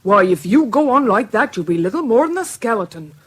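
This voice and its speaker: An old-fashioned British housekeeper in a radio play, deferential but fussing. Her husky voice rises and falls in a very lively way. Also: on the high side, brisk. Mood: fussing, reproachful. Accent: British English. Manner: deferential yet scolding. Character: fussy motherly old-fashioned female housekeeper. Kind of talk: radio drama acting.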